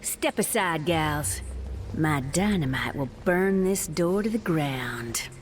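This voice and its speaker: A woman's voice: deep voice, lively intonation, slow, very noisy. A woman with a voicing a swaggering, dynamite-toting outlaw heroine, blunt and dry. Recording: loud game music behind.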